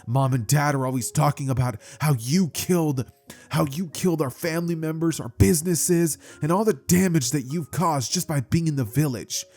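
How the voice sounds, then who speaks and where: A man's voice: a little high, normal speed, darkish tone, faint music behind, pitched above the performer's own voice. A man voicing a mean village boy who taunts in a sneering way. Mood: taunting.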